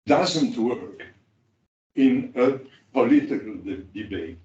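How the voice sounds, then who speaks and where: Guy on a panel talking super slow, lots of ums, far off in a big echoey hall. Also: medium pitch, animated, dark tone. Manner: halting, many pauses and fillers. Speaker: cooperative practitioner on a panel. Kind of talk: panel discussion remarks. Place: large conference hall. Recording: echoey hall, distant dull mic.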